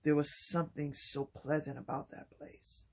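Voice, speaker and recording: A man talking softly and haltingly in a personal vlog, with many pauses and restarts. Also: flat delivery. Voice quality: low voice. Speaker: vlogger. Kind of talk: personal vlog, reflective talk.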